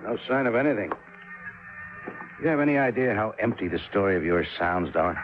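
Man acting gruff, low-voiced radio police sergeant. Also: expressive, brisk, faint music behind, lightly acted. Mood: dismissive, firm. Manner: blunt, terse, grudging. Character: gruff, skeptical male homicide police sergeant, grudging and by-the-book. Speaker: supporting actor in a radio drama. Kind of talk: 1950s radio drama acting. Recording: muffled, noisy 1950s radio recording.

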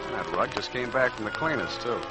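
An actor playing a wry, cool, hard-boiled private detective with slangy delivery, in 1940s radio drama style. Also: male voice, medium pitch, lively intonation, fast, music in the background, lightly acted, poor audio. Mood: wry narration. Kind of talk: 1940s radio acting, noir narration.

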